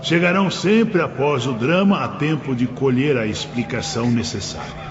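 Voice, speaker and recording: A man playing a wise, serene spiritual teacher who instructs his pupils in a solemn, measured audiobook drama style. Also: mid-range voice, animated, close to an everyday voice. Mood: instructive, calm. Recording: muffled, noisy, long hall reverb.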